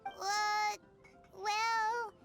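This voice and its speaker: A woman voicing a tiny, excitable, blunt childlike girl companion with a squeaky voice in a video game. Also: drawn-out words, heavily acted. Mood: curious. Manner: speaks of self in third person, exclaiming. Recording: music behind the voice.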